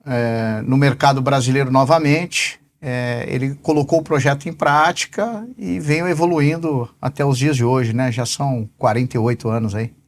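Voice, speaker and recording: A man in his forties, a business director being interviewed, answering in an unhurried way and pausing often on fillers. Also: medium pitch, a little hiss or hum. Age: 40s. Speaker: podcast guest, company sales director.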